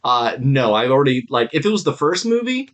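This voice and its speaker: A man's voice: mid-range voice, very animated, brisk, a bit bright. Casual, rambling man with mid-range voice. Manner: informal, sweary, rambling. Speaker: podcast co-host. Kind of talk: casual review chat.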